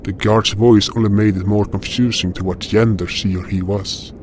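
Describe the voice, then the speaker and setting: A man playing a solemn, dutiful guardian spirit, narrating his own fantasy tale in a measured first-person style. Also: on the low side, fairly even intonation, a little mellow, a little hiss or hum, lightly acted. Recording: guitar music under the voice.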